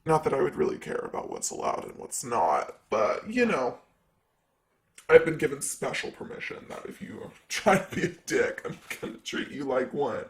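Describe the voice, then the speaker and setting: A man's voice: mid-range voice, sing-song, normal speed, a little hiss or hum. A man playing a sassy, vain, flirty ancient demon secretary in an improvised ASMR roleplay, with a teasing, casual manner. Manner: teasing, casual, drawling asides.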